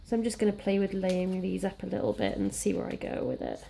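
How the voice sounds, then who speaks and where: Welsh woman, young, slightly croaky from a cold, chatty and rambling, warm gravelly tone. Casual crafting vlog. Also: on the low side, big pitch swings, fast, a little hiss or hum. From Wales. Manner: chatty, rambling, self-deprecating. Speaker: scrapbooking crafter.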